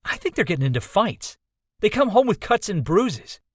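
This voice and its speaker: Earnest young student, higher voice. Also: male voice, sing-song, normal speed, slightly rough, no echo, clean studio sound, heavily acted. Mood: concerned. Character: young male college student assistant, earnest, loyal. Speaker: voice actor in a visual novel. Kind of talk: visual novel character dialogue.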